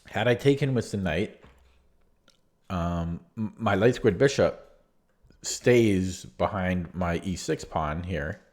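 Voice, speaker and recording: A man, losing his voice, hesitantly and candidly talking through a chess game he played, reading from his notes. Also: lowish voice. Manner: hesitant, many restarts, relaxed and candid. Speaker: chess channel host reviewing his own game. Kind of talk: casual game analysis from notes.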